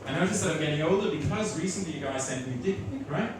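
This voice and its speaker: A man with a German accent works a comedy crowd, his pitch leaping up and down between dry asides. The sound is very roomy and picked up from some distance. Audience noise hums clearly behind him. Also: animated, very fast. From Switzerland. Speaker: stand-up comedian. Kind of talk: stand-up comedy set. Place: comedy club stage before a laughing audience.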